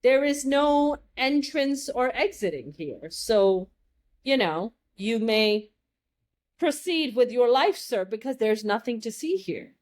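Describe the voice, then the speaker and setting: Animated American woman telling blunt stories. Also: fairly high pitch, slow pace. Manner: lively, blunt, swears and jokes freely. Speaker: guest. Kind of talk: casual storytelling chat.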